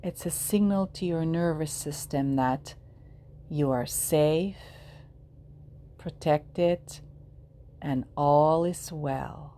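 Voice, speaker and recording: Very slow, low-voiced woman guiding meditation. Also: mellow, slight background noise. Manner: soothing, measured, with long pauses between short phrases. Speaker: yoga nidra guide.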